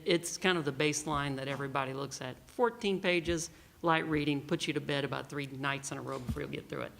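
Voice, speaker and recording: Joking Texan man with a gravelly voice. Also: on the high side. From Texas. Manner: joking, storytelling, lively. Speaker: panel speaker. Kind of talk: conference talk. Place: conference hall.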